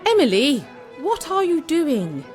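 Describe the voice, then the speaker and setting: A woman's voice: high voice, sing-song, measured pace, soft background music. A woman playing a genteel young Victorian fiancée, affectionate and teasing, in a theatrical period drama. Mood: exasperated.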